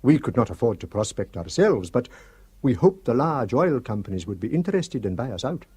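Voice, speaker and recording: An actor playing an earnest Shetland father in a radio drama, speaking in a measured way. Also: mid-range voice, sing-song, a little mellow, breathy edge. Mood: explaining. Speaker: islander on the committee.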